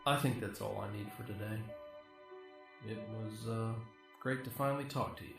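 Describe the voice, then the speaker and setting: A man's voice: on the low side, moderate pace, creaky. A man acting a polite, slightly hesitant interviewer probing a killer in an amateur radio play. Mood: curious, guarded. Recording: roomy, distant-mic sound under loud music.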